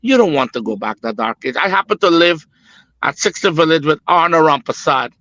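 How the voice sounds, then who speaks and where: A man's voice: on the high side, animated, brisk, bright, forceful tone, dry recording, highs cut off. A middle-aged man with a Guyanese accent giving his political opinion as a panel guest, earnest and repetitive, with emphatic phrasing. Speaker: business executive, panel guest.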